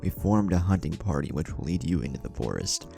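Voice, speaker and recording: A man's voice: a bass voice, animated, gravelly, dark tone, faint music behind, full character voice. A man voicing a polite, grave elderly village leader in a storybook reading, speaking formally. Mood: cheerful, courteous. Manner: formal, measured wording.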